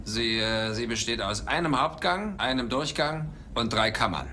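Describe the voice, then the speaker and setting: An actor playing a driven, wry archaeology professor and adventurer in a dubbed film, dry and casual with hesitations. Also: male voice, medium pitch, expressive, normal speed, pushed and bright, slight background noise. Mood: distracted, lecturing. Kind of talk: German film dubbing.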